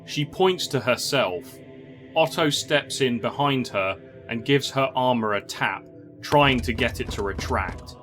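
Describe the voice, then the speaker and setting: Slow, measured male story narrator. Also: mid-range voice, animated, a bit bright, soft background music.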